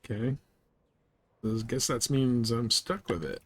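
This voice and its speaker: A man's voice: mid-range voice, a little slow. A man giving casual live commentary as he plays a game, thinking aloud as he goes. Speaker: game streamer.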